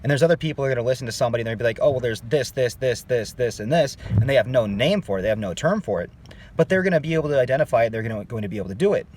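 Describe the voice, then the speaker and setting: A man's voice: medium pitch, lively intonation, very fast, a little mellow, a little hiss or hum. Chatty man teaching singing. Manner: conversational, addresses viewers as "guys", frequent "okay?" check-ins. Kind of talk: casual online singing lesson.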